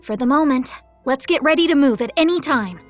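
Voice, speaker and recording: Very high, girlish earnest little goddess. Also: lively intonation, a little fast, faint music behind. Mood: focused. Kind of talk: animated video game acting.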